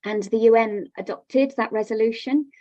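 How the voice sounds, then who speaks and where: A woman's voice: a little high, moderate pace, warm tone, no echo, lo-fi sound. A woman speaking as a radio guest, giving an explanatory answer in an interview.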